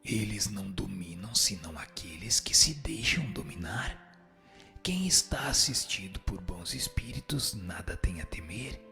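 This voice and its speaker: Gravelly, solemn Brazilian spirit, played by a man. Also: sits low, expressive, measured pace, sharp tone, full character voice. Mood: solemn, instructive. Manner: solemn, didactic, formal. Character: solemn, instructive male spirit answering questions. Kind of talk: scripted radio drama dialogue, formal doctrinal answers. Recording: music under the voice. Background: background music.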